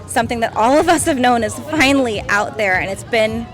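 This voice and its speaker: A woman's voice: fairly high pitch, lively intonation, a little fast, crowd noise. Earnest woman protester, music behind. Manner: earnest, frustrated. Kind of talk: interview at a rally. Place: outdoors on a city street.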